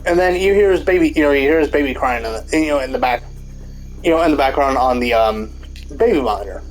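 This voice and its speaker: A man's voice: mid-range voice, quick pace, poor audio. Rambling stuffy-nosed man with faint music behind. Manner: rambling, many fillers and restarts; swears casually. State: stuffy nose. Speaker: commentary YouTuber. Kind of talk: casual gaming commentary rant.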